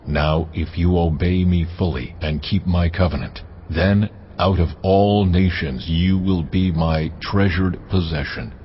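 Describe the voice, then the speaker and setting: A man voicing a deep, solemn God. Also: measured pace, a little hiss or hum. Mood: commanding. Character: God, male, almighty and commanding. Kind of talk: dramatized Bible reading. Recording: muffled audio under orchestral music.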